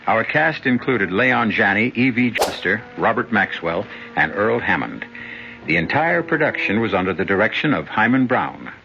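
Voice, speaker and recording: Male radio host, theatrical, lively pitch, low voice, dull old radio sound with music. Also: moderate pace, vocal fry, heavy background noise. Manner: musing, theatrical. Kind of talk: scripted narration.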